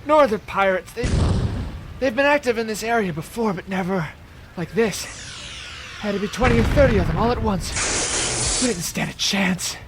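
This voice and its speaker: An actor playing a mortally wounded colony mayor in an audio drama, gasping out halting, urgent words in pain. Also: male voice, high-pitched, natural voice. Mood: shaken, breathless. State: in pain, struggling to speak. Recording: clearly noisy distress transmission.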